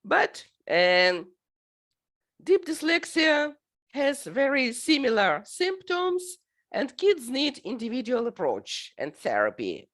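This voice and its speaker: A middle-aged woman from Ukraine with a Ukrainian accent, a native Russian speaker, giving an academic presentation in a halting way, full of fillers. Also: high-pitched, wildly swooping pitch, fairly bright tone.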